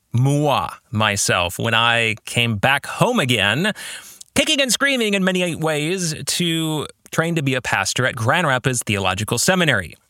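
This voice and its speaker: A middle-aged American man hosting a podcast, speaking in a reflective, explanatory monologue with measured phrasing and occasional self-corrections. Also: mid-range voice, sharp tone, no room sound, studio quality. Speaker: podcast host and author.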